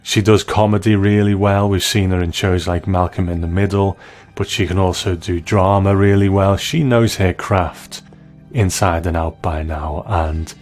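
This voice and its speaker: A man giving conversational review commentary, reflective and measured, as narrator. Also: deep voice, steady tone, darkish tone, faint music behind.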